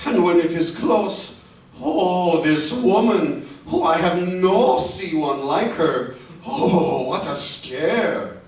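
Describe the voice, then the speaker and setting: Genial, excitable Mexican townsman, higher voice, broken English, radio western, very reverberant distant recording. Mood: excited, mysterious. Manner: broken English, chuckling, drawn-out exclamations. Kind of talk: old-time radio western acting.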